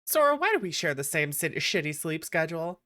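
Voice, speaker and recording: Female streamer, slightly low pitch. Casual, chatty, animated and teasing, happy to swear. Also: fairly quick. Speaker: livestreamer, VTuber host. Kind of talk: casual livestream chat.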